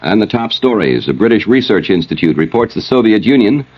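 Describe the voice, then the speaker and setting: A man's voice: on the low side, very animated, a little fast. A man reading news and weather in a scripted style as a radio announcer. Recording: slightly dull old radio recording.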